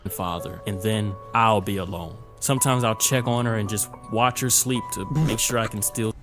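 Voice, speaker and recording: A man's voice: on the low side, steady tone, moderate pace, fairly bright tone. A man reading scripted video essay narration in a dramatic storytelling manner. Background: background music.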